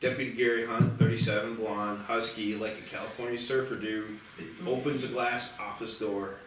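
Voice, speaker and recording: A man's voice: lowish voice, little pitch movement, moderate pace, a bit bright, a little hiss or hum. A man reading scripted stage directions as the narrator at a screenplay table read. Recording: very echoey room, distant mic, music behind.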